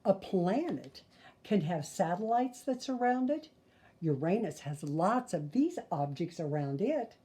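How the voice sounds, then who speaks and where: An older woman reading a children's picture book aloud, phrasing deliberately and adding gentle asides for young listeners. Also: lowish voice, very animated.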